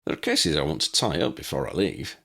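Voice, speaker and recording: Man playing an earnest easygoing dad, very animated. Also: extremely low pitch, fairly quick, gravelly, slightly rough, heavily acted. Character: easygoing, earnest young father, bumbling and cheeky. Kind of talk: audiobook character reading.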